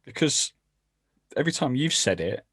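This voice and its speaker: A man's voice: medium pitch, big pitch swings, moderate pace, fairly bright tone, breathy edge, no room sound. A man giving casual football opinions as a podcast panellist, hesitantly repeating words as he builds each point.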